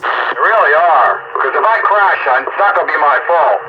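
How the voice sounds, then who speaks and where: A man's voice: a little high, lively intonation, normal speed. An older man chatting casually and folksily over the radio, rambling like a hobbyist operator. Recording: noisy, muffled two-way radio transmission.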